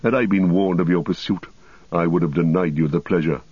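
Guy doing sneering old fallen wizard for an audiobook, cracked deep voice, kinda muffled and echoey. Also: fairly even intonation. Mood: bitter, proud. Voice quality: cracked, husky. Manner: mocking, drawn-out archaic phrasing.

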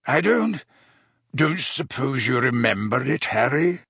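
A man's voice: medium pitch, big pitch swings, a little slow, creaky, a bit bright, slightly rough, dry recording, studio quality, heavily acted. A man voicing a tipsy, weepy old male potions master who talks in an emotional, fretful way with hiccups. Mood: awkward, shaken. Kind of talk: audiobook character voice.

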